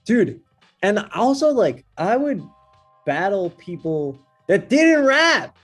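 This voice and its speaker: A man's voice: sits high, very animated, takes it slowly, faint music behind. A man guesting on a podcast, telling stories in a laid-back way and laughing as he goes. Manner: laid-back storyteller, laughs mid-story. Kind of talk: casual storytelling chat.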